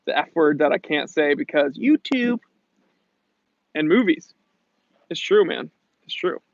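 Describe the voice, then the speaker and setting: A man's voice: fairly high pitch, lively intonation, measured pace, vocal fry, no echo. An American man from New England hosting a casual livestream Q&A, chatting in a slangy, jokey, rambling way. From New England, USA. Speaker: livestream host. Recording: muffled lo-fi audio.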